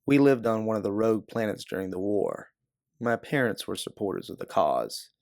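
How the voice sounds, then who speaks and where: A man's voice: on the low side, very animated, moderate pace, vocal fry, a little mellow, no echo, clean studio sound. A man voicing a guarded, hard-bitten female bounty hunter in a science fiction audiobook. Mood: bitter, matter-of-fact. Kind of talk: audiobook character dialogue.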